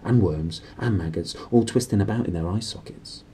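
A man's voice: lowish voice, normal speed, warm tone, a little hiss or hum, natural voice. A man voicing a bold, curious young girl from a children's novel, plain-spoken and confident. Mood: knowing.

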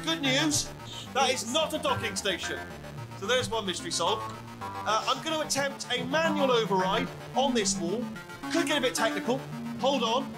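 An actor with a British accent playing a chatty, bumbling, eager robot sidekick in a video game, rambling and stammering. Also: male voice, extremely high pitch, expressive, moderate pace, over loud music, rough recording. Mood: eager, nervous. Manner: rambling, stammering, falsely reassuring.

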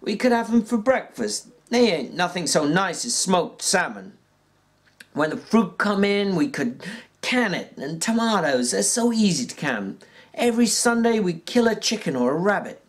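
A reader voicing a plainspoken ranch worker with a folksy rural drawl, pitched well above the narration and stumbling now and then. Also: big pitch swings, moderate pace, lightly acted. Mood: wistful. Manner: raised pitch, stumbles and fillers. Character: plainspoken ranch worker man dreaming aloud. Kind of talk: audiobook reading.

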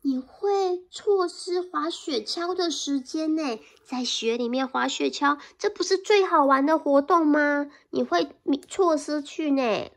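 A woman's voice: high voice, unhurried, hardly any pauses, fairly bright tone, pitched above the performer's own voice. A woman voicing a small, eager male mouse in a storybook read-aloud, coaxing and pleading insistently. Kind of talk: picture-book read-aloud.

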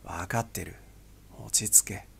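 A man voicing a grim, weary spy boss who speaks tersely and heavily in a gravelly, husky voice. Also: deep voice, steady tone, measured pace, a bit bright, voice pushed down, heavily acted. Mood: somber. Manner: heavy, somber, terse.

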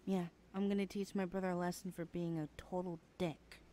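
A woman's voice: lowish voice, little pitch movement, fairly quick, mellow, slight background noise, lightly acted. A woman playing a sassy, blunt twenty-one-year-old best friend in a casual, slangy audio roleplay, often sighing. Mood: concerned, teasing. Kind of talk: conversational ASMR roleplay acting.

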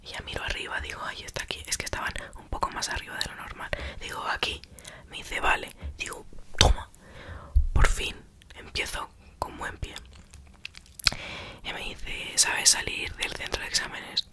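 A woman with an accent from Spain whispers a casual ASMR storytime in a breathy, unvoiced voice, retelling it colloquially and acting out the dialogue. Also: normal speed.

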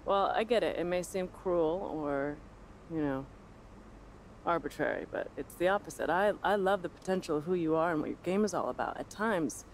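An actress voices a sharp American female sports journalist in her thirties for a video game cutscene. She is self-assured and provocative, with a pointed, sardonic delivery. There is faint noise behind the voice. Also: on the low side, normal speed, close to an everyday voice, low-quality recording. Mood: earnest, unyielding.

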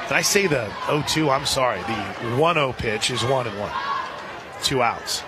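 A man doing live radio play-by-play of a softball game in a conversational way, with folksy asides. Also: mid-range voice, lively intonation, a little slow. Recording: crowd noise and music behind. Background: ballpark crowd and music.

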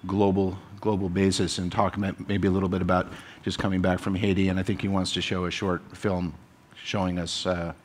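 A man's voice: low voice, flat delivery, a little fast, slight background noise. A man moderating a conference panel, speaking hesitantly with many fillers. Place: conference stage with an audience.

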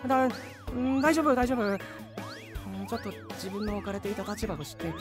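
An actor playing an excitable, doting young man in a theatrical Japanese anime-style audio drama. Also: high voice, brisk, long reverb tail, over loud music. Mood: flustered. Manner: theatrical, stammering when flustered. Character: excitable, melodramatic young man, doting older-brother type.

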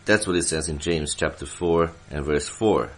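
A man from Serbia, a church elder, delivering Bible teaching from prepared notes in a deliberate way, stressing his phrases. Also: very deep voice.

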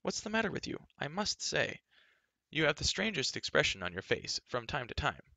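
A man's voice: on the high side, huge pitch swings, normal speed, vocal fry, pushed and bright, dry recording, pitched above the performer's own voice, exaggerated voice. A man voicing a spirited, headstrong, talkative young girl in a storybook, chattering emphatically and with indignation. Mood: brisk, impatient. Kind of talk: acted audiobook dialogue.

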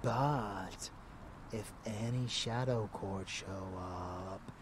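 A man playing a rebellious, murderous vampire lover in an intimate ASMR roleplay, teasing and menacing, breathy and often dropping into a whisper. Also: lowish voice, very slow, background noise. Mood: menacing, gleeful.

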